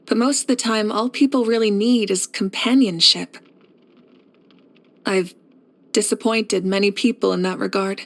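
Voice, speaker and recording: A woman playing a confident, warm young warrior leader in a video game, speaking in a poised, measured, earnest way. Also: medium pitch, gravelly. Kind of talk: scripted video game character voice lines.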